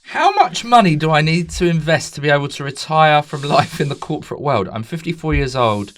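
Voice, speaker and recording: Young man in his 20s, podcast host, animated pitch, chatty, laughs easily. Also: fairly quick, dry recording. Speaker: podcast host and touring comedian. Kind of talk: casual comedy podcast chat.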